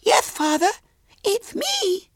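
A man's voice: very high-pitched, huge pitch swings, takes it slowly, a bit bright, no echo, clean studio sound, pitched above the performer's own voice, heavily acted. A man voicing a small, sweetly wilful girl with a piping, cajoling way of talking in a storybook. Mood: sweet, pleading.

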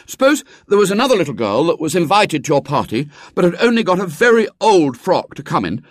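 A man's voice: high-pitched, big pitch swings, a little fast, no echo, clean studio sound, pitched above the performer's own voice. A man voicing an awkward schoolboy in a children's storybook, eager but out of his depth, hesitant and stammering as he blusters. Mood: hesitant, coaxing.

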